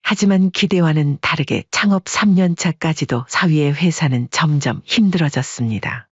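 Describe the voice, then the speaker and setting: A woman reads a family drama aloud with a steady storytelling tone and a breathy voice. The recording is dry and somewhat dull, without room sound. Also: low voice, dry recording. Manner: even, storytelling delivery. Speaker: narrator. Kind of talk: scripted story narration.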